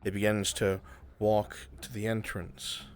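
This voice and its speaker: Male game master, low register, improvised roleplay narration with curt stage directions, music underneath. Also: flat delivery, unhurried. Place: voice chat in a virtual reality game.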